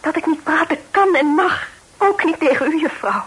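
Troubled young lady, high-pitched, hesitant, earnest, period radio drama. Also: animated, moderate pace, a bit bright. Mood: anguished, tearful. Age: young adult. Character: distressed, grateful young lady with a secret. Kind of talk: Dutch radio play acting.

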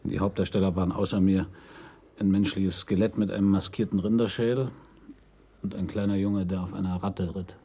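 An actor playing an uneasy, confiding man under police questioning in a German radio crime drama, husky and hesitant. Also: deep voice, level tone, a little fast, warm tone. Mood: brooding, confessional.

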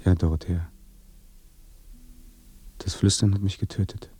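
An actor playing a haunted, weary dead son in a German radio play, speaking in a hushed, brooding way. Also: male voice, sits low, steady tone, a little slow, husky. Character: dead son recounting his death by fear, haunted, weary. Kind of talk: German radio play acting, slow intimate monologue.

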